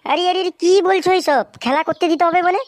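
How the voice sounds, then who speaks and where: A woman's voice: very high voice, fast talker, a crowd in the background. A woman voicing an indignant young daughter-in-law in a comedy cartoon, scolding and questioning in exasperation. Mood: outraged.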